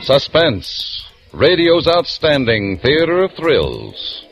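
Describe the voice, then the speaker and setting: A man announcing a radio drama and reading its sponsor ad in a formal, emphatic 1940s broadcast style. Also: mid-range voice. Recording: dull old radio broadcast with music.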